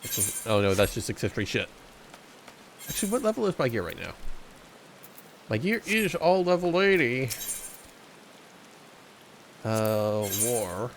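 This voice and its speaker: A man's voice: mid-range voice, sing-song, a little slow, pauses often, a little hiss or hum. A man streaming a game, chatting casually and reading the dialogue aloud with joking asides. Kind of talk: casual let's-play commentary.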